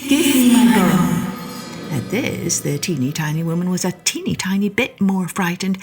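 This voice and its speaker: A woman narrating a children's story in an expressive, deliberate storyteller's manner. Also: mid-range voice, gravelly. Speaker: storyteller, podcast host.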